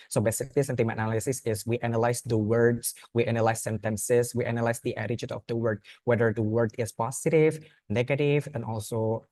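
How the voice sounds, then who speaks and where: Young man, Indonesian accent, earnest student talk, mid pitch, dry close sound. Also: darkish tone, no room sound. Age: young adult. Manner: earnest, plain phrasing with frequent restarts and repeated words. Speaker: student speaker at a youth forum. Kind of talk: informal presentation.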